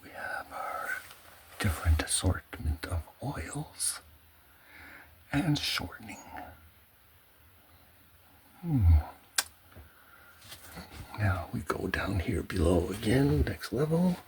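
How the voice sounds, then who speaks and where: A man giving a soft-spoken ASMR home tour in a hushed, halting way, often slipping into a whisper. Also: fairly low pitch, sing-song, noisy background. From Canada. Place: indoors at home, in a pantry.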